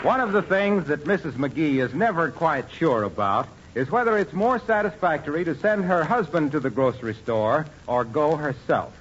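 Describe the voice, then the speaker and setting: A man's voice: a little high, expressive, moderate pace, few pauses, a faint crowd. A man working as an announcer, reading scripted narration in the style of 1940s radio. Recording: dull old radio recording, audience, faint noise.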